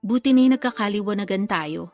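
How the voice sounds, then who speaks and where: A woman's voice: medium pitch, fast, a little mellow, soft background music, natural voice. A woman acting a teasing, guilty lover in a secret affair, with a Filipino accent, in a radio love drama. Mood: placating.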